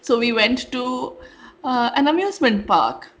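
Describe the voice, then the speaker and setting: A woman from India, co-hosting a casual podcast and telling animated stories with laughs. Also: on the high side, unhurried, dull audio. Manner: animated storyteller, laughs mid-sentence. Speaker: podcast co-host. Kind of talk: casual chat.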